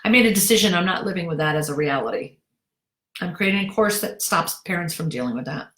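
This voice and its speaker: A woman's voice: lowish voice, lively intonation, fairly bright tone. Direct woman coach in an echoey room. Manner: direct, brisk, reads out viewer comments. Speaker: acting career coach. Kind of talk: live Q&A answering viewer questions.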